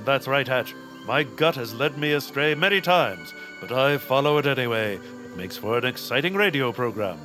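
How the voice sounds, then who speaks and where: A man's voice: medium pitch, normal speed, few pauses, music in the background, close to an everyday voice, low-quality recording. A man voicing a self-assured, slightly dim heroic space adventurer in old-time radio style. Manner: declaiming, self-assured, deadpan absurd.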